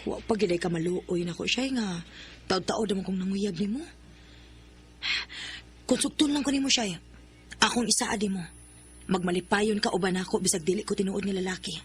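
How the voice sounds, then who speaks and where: A woman's voice: mid-range voice, moderate pace, pauses often, slight background noise, natural voice. Woman playing an earnest, pleading tomboy suitor. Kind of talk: radio drama acting.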